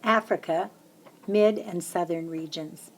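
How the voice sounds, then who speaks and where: A woman's voice: slow pace, low-quality recording. Woman reading a children's book aloud. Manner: lively, lifts her voice high for the children's chants. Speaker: reader of a children's picture book. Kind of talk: storybook read-aloud.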